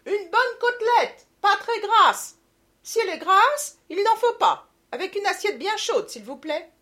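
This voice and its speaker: A fussy provincial mother, middle-aged and loud, bossing everyone around in a high, lively voice, as a woman puts her on. Her voice jumps up and down, never stays still. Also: moderate pace. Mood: urgent. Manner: loud, bossy and chatty.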